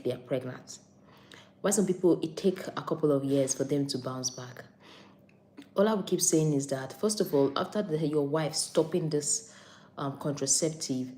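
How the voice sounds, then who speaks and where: Nigerian-born woman practitioner, mellow low voice, casual livestream host reading viewer comments. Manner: chatty, repeats herself, reads out comments. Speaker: anesthetics and recovery practitioner. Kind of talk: live stream chat answering viewer questions. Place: on a break during night duty.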